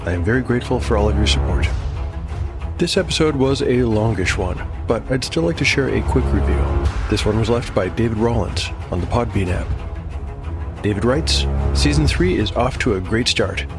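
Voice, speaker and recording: A clear music bed sits under a man with a fairly low voice. He delivers scripted podcast narration as the host of a dark fantasy show. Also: lively intonation, moderate pace.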